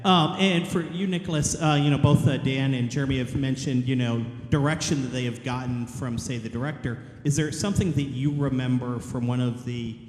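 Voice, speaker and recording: A man's voice: mid-range voice, fairly even intonation, fairly quick, hardly any pauses. A man moderating a convention panel, asking voice actors friendly questions. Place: large convention hall. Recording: echoey hall with long reverb.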